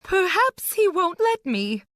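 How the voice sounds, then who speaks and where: A man's voice: extremely high pitch, very animated, takes it slowly, sharp tone, no room sound, clean studio sound, voice pushed up, heavily acted. A man playing a breathless anxious nurse. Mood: worried.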